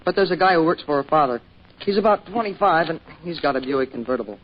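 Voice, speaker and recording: A man's voice: fairly high pitch, animated, fast talker, lightly acted. An actor playing an earnest, bashful teenage boy with an American accent in an old-time radio drama, speaking in an awkward, hesitant, boyish way. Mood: downcast, earnest. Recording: dull, noisy old radio recording.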